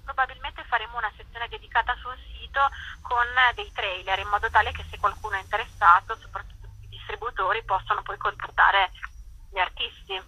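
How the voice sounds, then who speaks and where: A woman's voice: mid-range voice, expressive, a little fast, sharp tone, slightly rough, dry recording, low-quality recording. A woman explaining things thoughtfully and emphatically in an interview, as a festival director.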